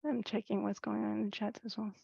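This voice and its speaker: A woman with a gravelly, creaky voice leads a participatory online workshop. Her delivery is flat and level, measured and inviting, with small restarts as she hands the floor to the group. The sound is dry and dull, like a lo-fi video call. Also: medium pitch, dry recording. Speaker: workshop facilitator.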